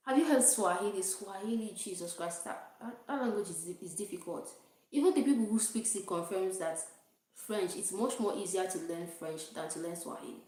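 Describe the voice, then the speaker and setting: A woman's voice: mid-range voice, animated, normal speed, dark tone. A young Nigerian woman with an accent, chatting casually and rambling on a livestream, often laughing at herself. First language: Igbo. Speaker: vlogger. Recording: very echoey room.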